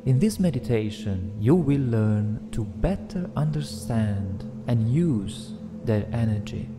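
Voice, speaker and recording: A man narrating a guided meditation in a gentle, soothing, deliberate way, leaving long pauses between phrases. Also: medium pitch, expressive. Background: meditation music with singing bowls.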